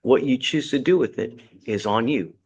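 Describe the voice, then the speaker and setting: A man's voice: medium pitch, moderate pace, a little mellow. A man serving as judge in a courtroom, lecturing a defendant from the bench with blunt sarcasm and folksy sayings.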